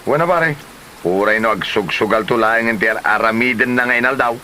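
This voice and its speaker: A man's voice: medium pitch, moderate pace, close to an everyday voice. A man acting as a boastful gambler suitor in a homemade comedy drama. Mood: boastful, wooing. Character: boastful gambler suitor promising to provide everything. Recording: noisy, steady hiss behind.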